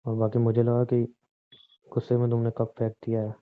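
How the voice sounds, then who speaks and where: A man's voice: medium pitch, completely flat delivery, a little fast, smooth, close to an everyday voice. A man playing a defensive husband in an audio drama, swinging between grudging apology and accusation in conversational speech. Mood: placating. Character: defensive, short-tempered husband, grudgingly apologetic, nagging his wife. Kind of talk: Hindi audio drama dialogue, conversational acting. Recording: muffled, phone-like narrow sound.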